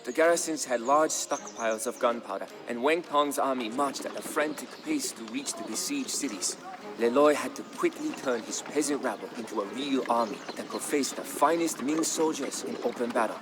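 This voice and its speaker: A man reading a scripted, dramatic historical narration in a measured, solemn storytelling manner. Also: mid-range voice, background noise. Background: background music.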